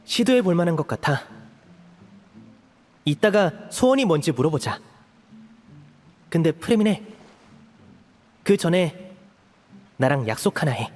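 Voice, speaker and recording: Game role: earnest, protective young man, measured and resolute, lightly high pitch, long reverb. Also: very animated, a little mellow, slight background noise. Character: protective, earnest young elder brother figure, raised an orphan. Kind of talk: video game voice acting.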